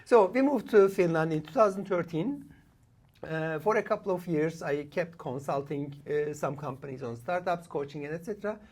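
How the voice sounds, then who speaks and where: This is a man in his late forties who grew up in Turkey and whose first language is Kurdish. He is a guest in a relaxed podcast interview in a studio. He speaks in short, halting bursts, filling the gaps with "uh" and restarting sentences as he goes. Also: fairly high pitch. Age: late 40s. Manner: talks in short bursts with frequent "uh" pauses and restarts. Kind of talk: casual interview.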